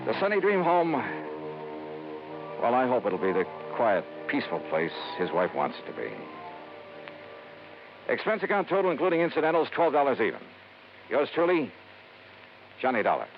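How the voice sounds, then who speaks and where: An actor playing a shrewd, hard-boiled insurance investigator in a wry, clipped old radio noir style. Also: male voice, medium pitch, wildly swooping pitch, normal speed, pauses often, over music. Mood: grim, wry. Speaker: lead actor and narrator. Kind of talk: 1950s radio noir acting. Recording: dull old radio recording.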